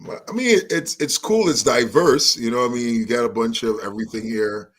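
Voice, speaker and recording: Friendly guy from trinidad, musician guest, just chatting relaxed. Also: medium pitch, fairly quick. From Trinidad and Tobago. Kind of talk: casual interview talk.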